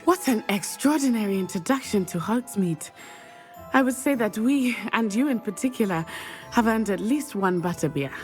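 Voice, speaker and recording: An actress playing a polite, eager young witch in a video game, speaking formally and precisely with bright exclamations. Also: medium pitch, animated, moderate pace, soft background music, natural voice. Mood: friendly. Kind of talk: video game cutscene acting.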